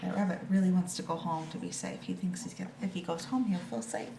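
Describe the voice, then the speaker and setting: A woman's voice: lowish voice, quick pace, dark tone. A woman reading a picture book to children and explaining it informally in simple, child-directed words. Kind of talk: read-aloud with informal explanations. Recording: echoey room with clear background noise.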